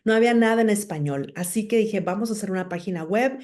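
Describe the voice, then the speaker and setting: A woman's voice: medium pitch, fast talker, a little mellow. A middle-aged woman from Monterrey with a northern Mexican accent, telling her personal story as an interview guest in an articulate, emphatic way. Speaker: podcast guest, entrepreneur and speaker.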